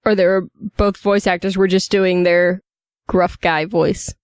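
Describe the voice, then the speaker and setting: A woman's voice: fairly low pitch, flat delivery, measured pace, clean tone, no room sound, studio quality. A woman co-hosting a podcast, talking in a casual chatty style. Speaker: podcast co-host. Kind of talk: casual chat.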